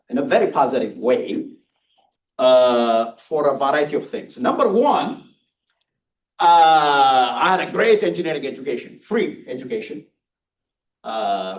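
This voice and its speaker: A mature man from Ethiopia with an Ethiopian accent, giving a public lecture with personal storytelling in a deliberate, hesitant, emphatic way. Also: fairly high pitch. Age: middle-aged or older. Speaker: university engineering lecturer. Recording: roomy, distant microphone.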